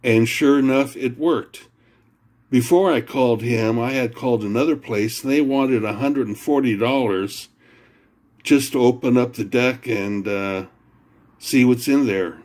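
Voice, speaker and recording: Man, slow unhurried delivery, plain halting talk, casual how-to explanation, recorded indoors on a camera mic. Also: mid-range voice, a little mellow. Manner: plain, halting, repeats himself with fillers. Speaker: home repair video maker.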